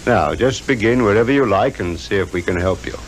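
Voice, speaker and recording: A man acts a formal, courteous British police inspector in a vintage radio drama. His voice sits on the low side and he speaks reassuringly. There is faint noise on the old recording. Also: animated, fairly quick, close to an everyday voice. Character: courteous, measured Scotland Yard police inspector. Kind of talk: 1950s radio drama acting. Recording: noisy 1950s radio recording.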